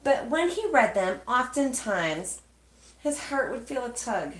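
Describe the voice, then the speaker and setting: A woman reading a children's storybook aloud expressively, with measured, dramatic phrasing and pauses. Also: on the high side, big pitch swings. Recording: echoey, roomy sound.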